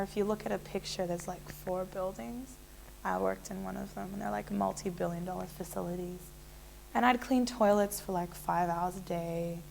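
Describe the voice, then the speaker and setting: A woman, telling her life story in a relaxed, chatty way full of fillers and restarts. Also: medium pitch, vocal fry, slight background noise, low-quality recording. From Australia. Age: 20s. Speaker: interviewee. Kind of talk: casual personal storytelling.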